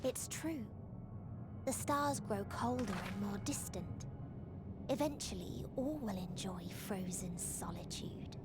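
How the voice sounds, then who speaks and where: A serene female herald of despair in a fantasy game. She talks very slowly in formal, detached phrases with a soft menace. Loud music swells behind her voice. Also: medium pitch, lively intonation. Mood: detached, bleak. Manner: slow, detached, formal, softly ominous. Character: serene, nihilistic female being who shepherds despair. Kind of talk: dramatic fantasy game villain acting.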